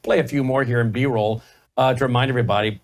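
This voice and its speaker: A man's voice: mid-range voice, fairly even intonation, fast. A man hosting a news talk show, introducing guests and speaking in a direct, emphatic way.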